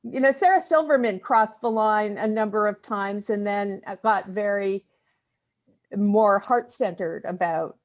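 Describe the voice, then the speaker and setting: A woman chatting casually in a group discussion, halting and repeating words as she works out her thoughts. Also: medium pitch. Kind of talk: casual video-call chat.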